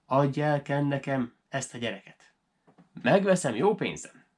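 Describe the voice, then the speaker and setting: A man's voice: mid-range voice, big pitch swings, darkish tone. A man voicing a curious, imperious wealthy lord in a folk tale, commanding and exclamatory. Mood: demanding.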